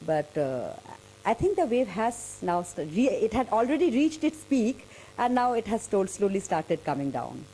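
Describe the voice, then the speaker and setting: A woman's voice: mid-range voice, sing-song, normal speed, warm tone, a little hiss or hum, poor audio. A woman with an Indian accent giving an unscripted interview answer as a candidate, hesitating and reworking her phrases. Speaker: political candidate.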